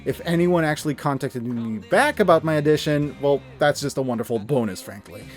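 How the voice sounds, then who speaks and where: A man in his thirties giving an earnest, expressive, scripted personal narration, with emphatic delivery. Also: a little high, brisk. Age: 30s. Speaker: video creator and narrator. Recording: music under the voice. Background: background music.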